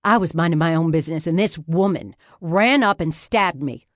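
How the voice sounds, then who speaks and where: A woman's voice: deep voice, moderate pace, no room sound, studio quality, lightly acted. A woman voicing a pompous, whiny wounded businessman who groans and sputters indignantly in an audiobook story. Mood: outraged accusing.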